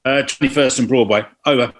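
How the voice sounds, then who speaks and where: A man's voice: mid-range voice, steady tone, brisk, natural voice. A man acting a self-important, stumbling police officer in a comic script read. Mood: officious. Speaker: actor in a script table read. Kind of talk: comedic screenplay table read.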